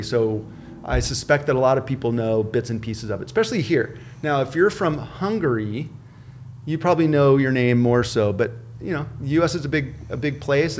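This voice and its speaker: A man hosting a podcast interview in a relaxed, upbeat, chatty way, joking with his guests. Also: medium pitch, slight background noise. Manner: upbeat, chatty, quick to joke. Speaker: podcast host. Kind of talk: casual interview chat.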